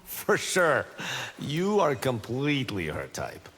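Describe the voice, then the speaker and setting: A man's voice: medium pitch, huge pitch swings, slow, fairly bright tone, long reverb tail, slight background noise, heavily acted. A man playing a proud, eager young martial arts monk who boasts with self-assurance in video game banter. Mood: earnest, proud.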